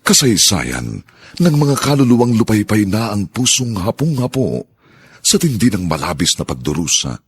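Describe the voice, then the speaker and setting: A man's voice: lowish voice, sing-song, moderate pace, breathy edge. A man delivering a grand, solemn, poetic introduction to a radio drama in a scripted narration. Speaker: program narrator.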